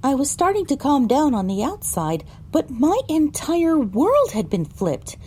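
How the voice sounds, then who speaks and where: A woman's voice: sits high, huge pitch swings, measured pace, a little mellow, heavily acted. A woman narrating a first-person story for an audio drama, reading descriptively with dramatic phrasing.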